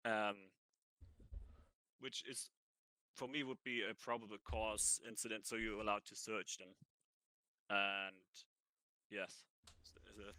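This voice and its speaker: Low, bright-toned man with a cold. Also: no echo, rough recording. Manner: halting, thinks aloud while answering. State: has a cold. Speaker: ranger job applicant. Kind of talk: roleplay job interview.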